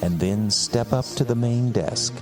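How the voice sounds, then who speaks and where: An American man playing a pompous, wry British gentleman host, narrating theatrically in a put-on British accent for a fantasy podcast. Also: mid-range voice, measured pace, natural voice. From United States. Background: music.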